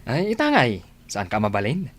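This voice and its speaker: An actor playing a familiar, concerned older brother in a radio drama, with an Ilocano accent. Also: male voice, mid-range voice, huge pitch swings, normal speed, a little hiss or hum. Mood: teasing. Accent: Ilocano, northern Philippines. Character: concerned older brother of a young woman, teasing host.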